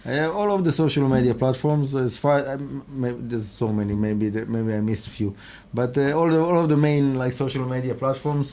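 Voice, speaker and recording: Hebrew-native man with a mellow voice. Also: medium pitch, fairly even intonation. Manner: halting, restarts and repeats words often; earnest, devout phrasing. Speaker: rabbi and kabbalah teacher. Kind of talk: casual interview answers.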